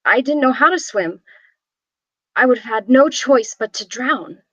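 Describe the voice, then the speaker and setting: A higher-voiced, haunted young woman tells her family's tragic story as an actress reads her in a drama script. She is reflective and deliberate. The sound is dull and lo-fi, like an online call. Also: lively intonation, fairly bright tone, no echo. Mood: somber. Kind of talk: scripted voice-over at a table read.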